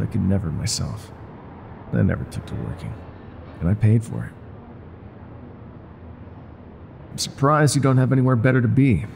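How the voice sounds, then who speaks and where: An actor playing a weary, regretful older ex-mafia bar owner in an intimate, brooding roleplay monologue. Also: male voice, fairly low pitch, vocal fry, a little mellow, slight background noise, heavily acted. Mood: wistful, gently teasing. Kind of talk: intimate scripted roleplay monologue, ASMR audio drama.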